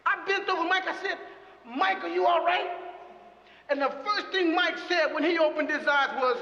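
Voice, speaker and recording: An actor playing an emotional, rambling preacher who introduces his brother in a dramatised speech, halting and repeating himself with fervour. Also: very high-pitched, sharp tone, heavily acted. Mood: excited storytelling. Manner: halting, repetitive, impassioned. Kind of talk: dramatised public speech from a pulpit. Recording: echoey noisy hall, dull sound, crowd.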